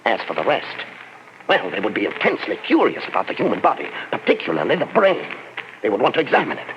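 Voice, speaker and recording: An actor playing a grave professor who lays out a theory in a measured, speculative way, in 1940s radio acting style. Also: male voice, mid-range voice, huge pitch swings, noisy background. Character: grave middle-aged male professor, theorizing calmly. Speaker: Professor Martell. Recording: dull old radio recording.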